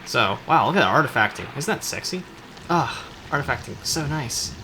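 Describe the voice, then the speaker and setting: A man's voice: medium pitch, animated, moderate pace, bright, forceful tone, slight background noise, low-quality recording. A man giving casual, rambling video game commentary in a jokey, sarcastic and self-deprecating way. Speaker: gaming video host. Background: music behind the voice.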